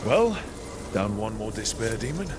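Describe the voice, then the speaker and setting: A man's voice: on the low side, sing-song, measured pace, noisy background. An actor playing a plain-spoken male adventurer hero in a video game, with a direct, informal manner. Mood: matter-of-fact.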